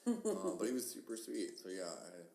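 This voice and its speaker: A man's voice: lowish voice, wildly swooping pitch, moderate pace, creaky, mellow. A man from Kansas hosting a podcast, with a nasal voice and a wry, self-mocking, rambling way of talking. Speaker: podcast host. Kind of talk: casual chat. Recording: echoey room with faint hiss.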